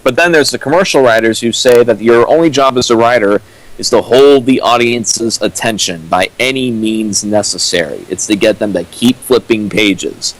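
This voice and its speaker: Earnest young man, mid-pitched. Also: normal speed, rough recording. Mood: lecturing. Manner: earnest lecturing with many fillers. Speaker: co-host riffing a fanfic. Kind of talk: casual opinionated chat. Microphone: home mic on a stream.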